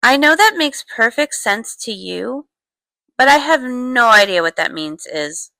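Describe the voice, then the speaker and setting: A woman plays a sociable young pony princess from a cartoon. Her high, lively voice takes its time, breezy and wryly teasing, with faint noise behind it. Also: unhurried, creaky, dry recording, heavily acted, poor audio. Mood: skeptical. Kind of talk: animated cartoon voice acting.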